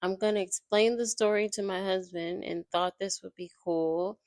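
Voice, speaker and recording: A woman's voice: medium pitch, fairly even intonation, normal speed, darkish tone, no room sound, studio quality. A young woman, slightly nasal and congested, casually summarizing a book in a loose, rambling way and often searching for words. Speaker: YouTuber summarizing a book while playing a game.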